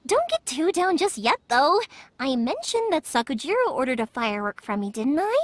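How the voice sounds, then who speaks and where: An actress playing a cheerful, lively young fireworks maker in a video game, talking in a playful, warm-hearted way. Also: female voice, high-pitched, normal speed, no room sound. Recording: game soundtrack music faint behind.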